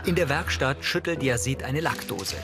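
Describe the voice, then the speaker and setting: A man's voice: medium pitch, animated, normal speed, over music. A man reading scripted scene descriptions for a TV series in a neutral, matter-of-fact way. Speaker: audio description narrator.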